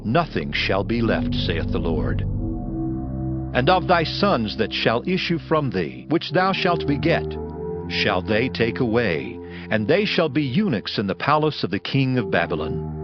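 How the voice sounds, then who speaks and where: A man's voice: mid-range voice, wildly swooping pitch, measured pace. A man playing a stern prophet, grave and emphatic, in a declamatory dramatized scripture reading. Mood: stern, foreboding. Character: stern male prophet pronouncing doom. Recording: dull sound under loud music. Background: music.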